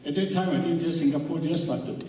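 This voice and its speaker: A man speaking on a panel, halting and repeating his words. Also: fairly high pitch, steady tone, darkish tone, slight background noise. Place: large event hall. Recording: echoey hall, distant mic.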